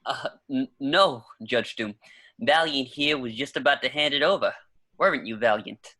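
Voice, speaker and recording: A man's voice: medium pitch, moderate pace, pushed and bright, dry recording. A man playing a hangdog, weary police lieutenant in an amateur screenplay table read. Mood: deferential.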